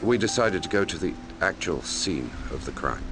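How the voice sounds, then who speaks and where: A man acting as an investigator who narrates his grim logbook in a measured, brooding way for a mystery game, in a gravelly voice. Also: very animated. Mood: grave, recounting. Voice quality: very deep, gravelly. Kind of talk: scripted first-person narration for a mystery game. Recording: music under the voice. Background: music.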